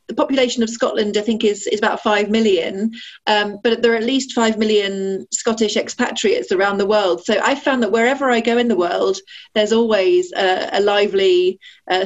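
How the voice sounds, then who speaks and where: Muffled woman in her forties from Sheffield. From Sheffield, England. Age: 40s. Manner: friendly, frequent fillers and restarts, laughs easily. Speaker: ambassador, interview guest. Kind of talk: conversational interview. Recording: muffled, low-fidelity audio.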